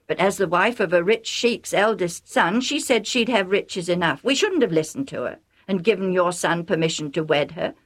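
A woman's voice: mid-range voice, voice pushed up, natural voice. A woman voicing a proud, scornful foreign father who blusters and mocks. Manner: blustering and sarcastic.

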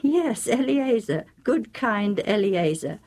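A woman's voice: mid-range voice, animated, slow, a little mellow, pitched above the performer's own voice. A woman voicing a doting, scheming mother in a children's Bible story, fond, coaxing and emphatic. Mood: assured, pleased. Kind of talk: children's storybook character reading.

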